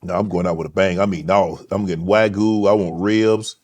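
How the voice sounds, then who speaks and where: A man hosting a casual interview podcast, playful and animated, often cutting in with quick reactions. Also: low voice. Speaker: podcast host.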